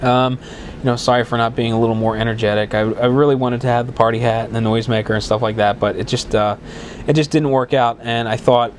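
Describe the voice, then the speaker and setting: A man's voice: medium pitch, steady tone, fast, a little hiss or hum. A man with a head cold hosting his own podcast in a casual, rambling way, with many restarts and fillers. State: congested with a cold, voice slightly worn. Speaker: podcast host. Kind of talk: casual solo podcast talk.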